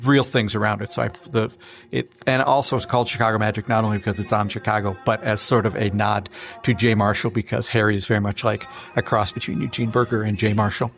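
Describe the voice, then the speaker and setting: A man from Minnesota, an author guest, chatting in a relaxed interview and chuckling through his stories. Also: lowish voice, quick pace, vocal fry, faint music behind. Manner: relaxed, anecdotal, chuckles while telling stories. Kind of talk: casual interview chat.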